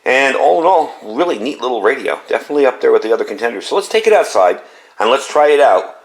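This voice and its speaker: A man's voice: mid-range voice, sing-song, fast. A man giving a casual, plain-spoken, hands-on product review in a conversational, explanatory way. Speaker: gadget reviewer presenting a product demonstration. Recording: noisy, with radio static and background hiss.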